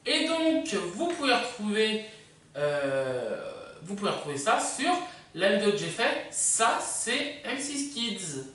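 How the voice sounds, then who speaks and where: A woman hosting a casual countdown video, presenting in a hesitant, drawn-out way. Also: mid-range voice, sing-song, a bit bright. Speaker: video host. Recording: very echoey, distant from microphone.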